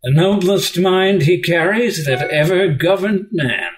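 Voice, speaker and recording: An actor playing an easy-going, urbane Athenian lord in a Shakespeare play reading. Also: male voice, high-pitched, unhurried, a little hiss or hum. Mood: amused, admiring.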